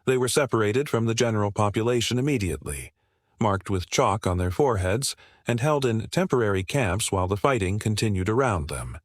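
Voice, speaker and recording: A man narrating a scripted history documentary in a grave, measured way, delivering short dramatic phrases. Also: on the low side, expressive, no echo, clean studio sound.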